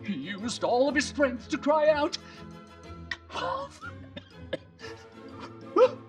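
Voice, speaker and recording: A woman hosting and reading children's bedtime stories in an animated, theatrical way with dramatic pauses. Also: high-pitched, far from the mic, over music. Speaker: children's bedtime storyteller and host. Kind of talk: animated scripted storytelling for children.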